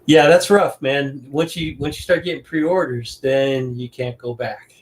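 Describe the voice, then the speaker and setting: A man's voice: mid-range voice, fairly even intonation, a little slow. Easygoing male podcast host. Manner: easygoing, says "man" often. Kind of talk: casual interview chat.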